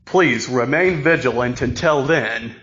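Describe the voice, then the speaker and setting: A man slowly reading a mock emergency broadcast in a solemn announcer voice, stumbling and repeating words as he goes. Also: medium pitch, a bit bright, lo-fi sound.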